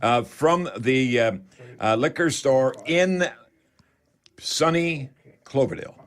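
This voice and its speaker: A man's voice: mid-range voice, slow. An older man with a Canadian accent hosts a home improvement radio call-in show in a chatty, folksy way, talking straight to his listeners.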